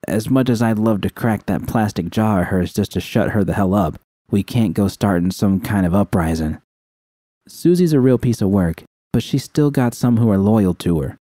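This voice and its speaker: A man's voice: on the low side, moderate pace, dark tone, dry recording, clean studio sound, close to an everyday voice. A man voicing a tough, worried woman gang member, slangy and conspiratorial, in audiobook dialogue. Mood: frustrated.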